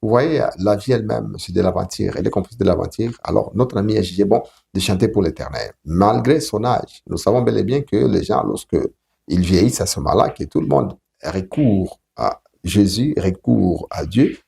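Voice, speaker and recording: A man with a Congolese French accent hosting a talk show interview in a halting, deliberate way. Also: low voice, animated, vocal fry, dark tone. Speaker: TV show host.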